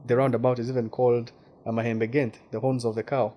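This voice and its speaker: A man's voice: medium pitch, level tone, quick pace, lo-fi sound. A man from Western Uganda with a Ugandan accent, speaking as a guest journalist in a casual interview and often repeating words while he searches for them.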